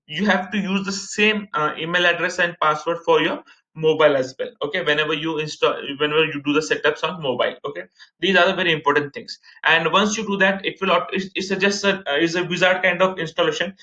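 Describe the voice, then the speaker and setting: A man's voice: fairly high pitch, pushed and bright. A man in his thirties with an Indian accent, presenting a casual step-by-step screen-share tutorial in a repetitive way. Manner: repetitive, step-by-step explaining, addressing viewers as guys. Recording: muffled, echoey room recording.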